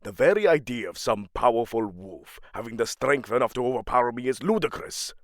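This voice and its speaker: A man's voice: mid-range voice, lively intonation, a little fast, no room sound, clean studio sound, lightly acted. An actor playing a proud, aging king of the Norse gods in a fantasy audio drama, grandiose and commanding. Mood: scornful, brooding. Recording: music under the voice.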